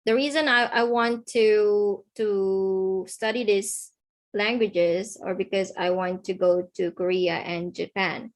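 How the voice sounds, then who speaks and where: Woman, online teacher, slow and deliberate, middle pitch, repeats key words. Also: fairly even intonation. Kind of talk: online English lesson.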